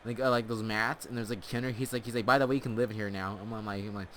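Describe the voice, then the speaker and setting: A man's voice: lowish voice, steady tone, fast talker, fairly bright tone, slight background noise. A man telling comic stories casually over gameplay, rambling in a tongue-in-cheek, mock-earnest way. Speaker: host of a gaming storytelling channel.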